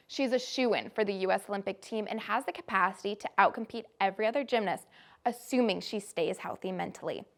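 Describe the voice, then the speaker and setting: A woman's voice: medium pitch, a bit bright. Young woman reading scripted sports news. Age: young adult. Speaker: student sports anchor.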